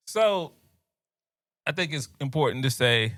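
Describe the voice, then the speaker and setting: A man's voice: medium pitch, measured pace, no room sound, clean studio sound. A young man, co-hosting a podcast and telling a story in casual chat, laughing often and dropping his voice when he quotes people. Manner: animated, laughs often, drops to quiet voices when quoting others.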